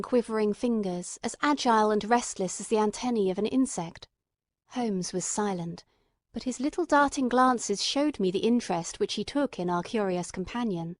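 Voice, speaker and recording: A woman's voice: medium pitch, no echo. Woman narrating an audiobook, dry close sound. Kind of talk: scripted literary narration.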